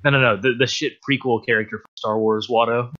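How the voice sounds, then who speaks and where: A man taking part as a panelist on a casual gaming podcast, chatting in a quick, offhand way over a slightly dull, lo-fi mic. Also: mid-range voice, fairly even intonation, a bit bright.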